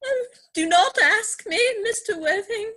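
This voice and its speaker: A woman playing a flustered, prim older governess in a comic play reading, with a fluttery, melodramatic, halting delivery. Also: very high-pitched, lively intonation, pushed and bright, heavily acted. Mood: distressed.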